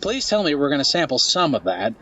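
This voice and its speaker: An actor playing a curious, sentimental companion listening to tales in a fantasy audio drama. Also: male voice, a little high, very animated, brisk, bright, forceful tone, far from the mic, muffled recording, full character voice. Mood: eager, curious.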